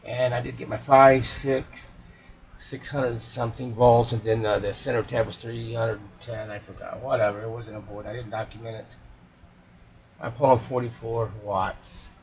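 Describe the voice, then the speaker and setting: A man's voice: medium pitch, little pitch movement, normal speed, darkish tone, slight background noise, low-quality recording. A man giving casual, unscripted commentary as a repair hobbyist, folksy and muttering to himself.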